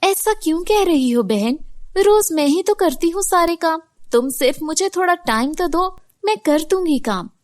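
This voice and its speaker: A meek young fairy-tale heroine, put on by a woman. She speaks in a very high voice, earnest and pleading, with lively rises and falls. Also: moderate pace, no room sound, clean studio sound.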